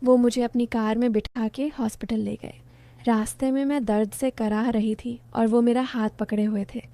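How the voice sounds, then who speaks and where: Mellow-voiced woman narrating a story. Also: on the high side, steady tone, moderate pace. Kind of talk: first-person story narration.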